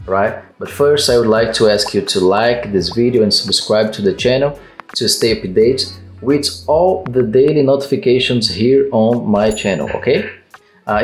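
A man in his thirties from Brazil, speaking English with a Brazilian Portuguese accent, walking through a software fix with halting phrasing and many fillers. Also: medium pitch, roomy sound. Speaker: tech tutorial host. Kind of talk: screen-recorded software tutorial. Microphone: headset.

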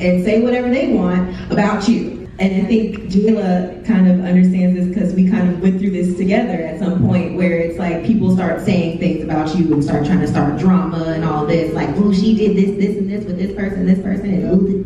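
A woman's voice: mid-range voice, mellow. Young woman talking in an echoey hall. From Texas. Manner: chatty, candid, quotes her own thoughts. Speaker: YouTuber on a panel. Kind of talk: casual panel talk. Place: large hall, indoors. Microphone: handheld mic, heard from a far camera. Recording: echoey hall from far off, dull.

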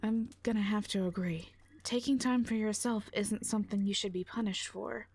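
A woman's voice: medium pitch, fairly even intonation, moderate pace, darkish tone, breathy edge, no room sound. A woman playing a blunt, protective warrior, sardonic but caring, in an audio roleplay drama. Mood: firm concern. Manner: blunt, dry, foul-mouthed.

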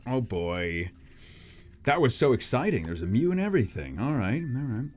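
A man's voice: mid-range voice, sing-song, normal speed. Man in his thirties hosting a casual vlog. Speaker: YouTube host. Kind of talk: casual upbeat vlog chat. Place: small home studio with acoustic foam panels. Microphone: desk microphone.

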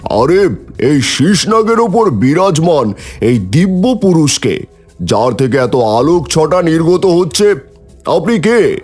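A man's voice: fairly high pitch, a little mellow. A man voicing a proud, boastful creator god with a pompous, declamatory manner in a mythological cartoon. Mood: indignant.

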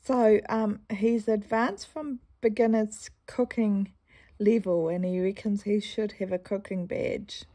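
A woman with a New Zealand accent chatting casually in a stitching vlog, rambling through a story and often clearing her croaky throat. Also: medium pitch, steady tone, slow pace, warm tone. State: croaky morning voice. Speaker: cross-stitch vlogger.

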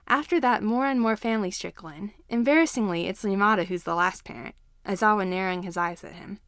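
A woman's voice: mid-range voice, clear, resonant tone, dry recording, clean studio sound. A woman reading a scripted story aloud as narrator.

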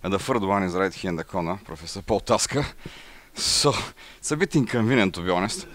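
A middle-aged man with a Bulgarian accent gives a technical slide presentation, speaking haltingly with frequent pauses. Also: low voice, animated, a bit bright. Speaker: conference presenter, engineer. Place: indoor forum room, seated at a table before a banner.

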